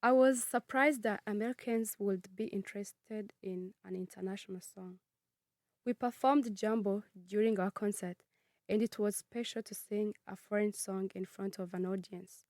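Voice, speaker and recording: A nineteen-year-old woman from Congo who grew up in Kenya, reading her personal narrative in a measured, earnest way. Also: mid-range voice, steady tone, a little mellow, dry recording, clean studio sound. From Congo, raised in Kenya. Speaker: student narrator.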